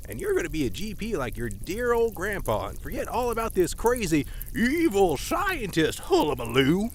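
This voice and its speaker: A stern, folksy old grandfather in an audio drama. His delivery leaps all over in pitch as he blusters and scolds in old-timey country phrases. Faint noise sits behind the voice. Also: huge pitch swings, moderate pace. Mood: stern scolding.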